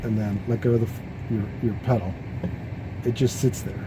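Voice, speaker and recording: A man's voice: fairly low pitch, steady tone, fairly quick. A man in a car casually explaining the features of his electric car, chatty and laughing at times. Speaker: car owner vlogger. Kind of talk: casual chat. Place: inside a car. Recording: loud road noise in car.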